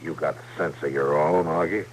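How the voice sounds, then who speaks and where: An actor playing a calm, weary American frontier marshal in an old radio Western, speaking in a measured, terse, quietly persuasive way. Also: male voice, very deep voice. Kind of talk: old-time Western radio drama acting. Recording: noisy vintage radio recording.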